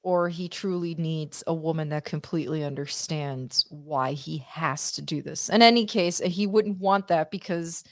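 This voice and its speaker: A woman's voice: low-pitched, moderate pace, few pauses. A woman co-hosting a podcast, giving blunt, opinionated takes in casual watch-party chat. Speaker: podcast co-host.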